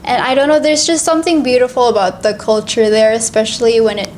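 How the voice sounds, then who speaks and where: A woman in her twenties from the Philippines, co-hosting a casual podcast chat in a soft, reflective way. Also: a little high, steady tone, fast talker, a little hiss or hum. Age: 20s. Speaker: co-host.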